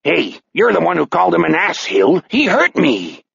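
A man voicing a vicious, crude old serial killer with a Chicago accent, sneering and taunting in a rough, strained voice. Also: fairly high pitch, huge pitch swings, moderate pace, fairly bright tone, no room sound, highs cut off, voice pushed up, full character voice. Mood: menacing taunts.

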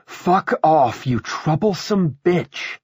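A hostile woman in her forties as a male reader plays her, pitched well up and cutting. She speaks with open scorn in a storybook reading, recorded very dry. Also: expressive, takes it slowly, darkish tone, breathy edge, no echo, full character voice. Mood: scornful. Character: tall, hostile, foul-mouthed woman of about forty.

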